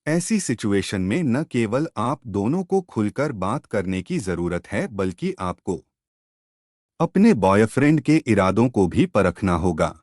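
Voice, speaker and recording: A male narrator reads scripted stories and advice in a fairly low voice. His delivery is slow, with a very animated melody. The recording is close and dry. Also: dry recording. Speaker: story channel narrator.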